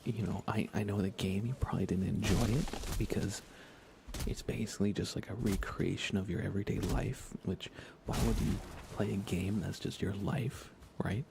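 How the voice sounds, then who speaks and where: A soft-spoken man chatting casually in an ASMR game livestream, often slipping into a whisper as he rambles along unhurriedly. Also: low-pitched, steady tone, normal speed, vocal fry, noisy background. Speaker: live streamer. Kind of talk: soft ASMR gaming stream, casual chat with viewers.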